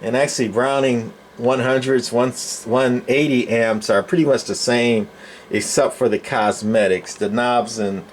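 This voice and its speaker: A man's voice: mid-range voice, fairly even intonation, a little slow. Male hobbyist presenter, mid-range voice, plainspoken and rambling, off-the-cuff show-and-tell with background noise. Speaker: radio collector presenting his collection. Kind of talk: casual unscripted show-and-tell. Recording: clear background noise, room ambience.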